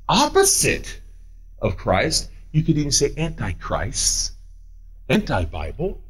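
Pastor, 40s, male: high, swooping delivery, paces the pulpit, argues with imagined doubters. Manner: animated, swooping pitch, paces while preaching; answers his own imagined questions. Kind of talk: sermon.

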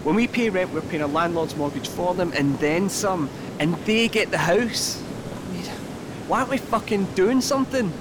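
Fed-up male renter played by a man, fairly high voice, halting and sweary, naturalistic audio drama. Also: natural voice. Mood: indignant. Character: agitated, fed-up young male renter, awkward and ranting. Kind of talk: naturalistic audio play dialogue. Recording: clear rumbling noise behind voice.

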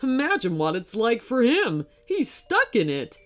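A woman's voice: a little high, huge pitch swings, measured pace, faint music behind, pitched above the performer's own voice. A woman voicing a brave, easygoing dad in a children's story, reassuring and encouraging.

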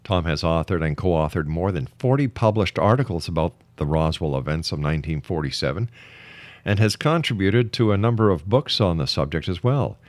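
A man with a very low voice hosts a radio interview show. He speaks like a formal announcer, recorded close and dry. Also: animated, fairly quick, no room sound. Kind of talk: radio interview hosting, reading a guest introduction.

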